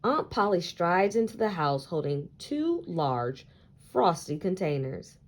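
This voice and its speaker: A woman reading a children's story aloud in an expressive, deliberate storytelling style. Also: lowish voice. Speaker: picture book read-aloud reader.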